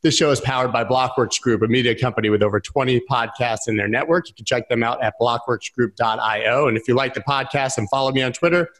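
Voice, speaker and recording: A man's voice: medium pitch, fast talker, few pauses, fairly bright tone. A man in his thirties hosting a podcast over a video call, reading an upbeat intro and asking questions. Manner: upbeat, reads plugs smoothly. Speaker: podcast host. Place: bright room at home.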